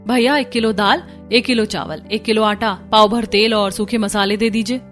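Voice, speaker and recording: Dutiful young housewife voiced by a woman, medium register, earnest and courteous, animated drama. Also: medium pitch, moderate pace, soft background music, close to an everyday voice. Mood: polite. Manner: earnest, polite. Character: patient, dutiful young daughter-in-law bearing hardship.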